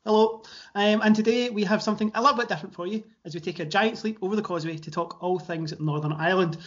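A man's voice: high-pitched, fast, muffled recording. A man hosting a casual podcast interview, chatty with fillers and restarts. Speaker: podcast host.

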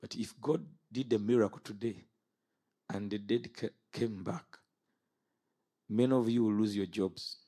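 A man's voice: on the low side, fairly even intonation, a little slow, long pauses, warm tone, breathy edge. A man in his fifties from Uganda preaching an impassioned sermon. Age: 50s. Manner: impassioned, rises and falls sharply.

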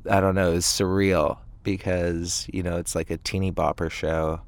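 A man gives a relaxed interview as a musician. His voice sits on the low side and the sound is dry, with no room around it. He talks in a loose, hesitant way, feeling his way through answers. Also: normal speed, gravelly, dry recording. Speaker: interview guest, indie band frontman. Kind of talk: casual interview chat. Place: inside a parked tour van.

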